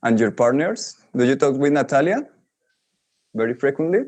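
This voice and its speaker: A man's voice: medium pitch, animated, normal speed, darkish tone. A man moderating an online English conversation class, prompting students by name and keeping things moving. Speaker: teacher moderating a conversation group.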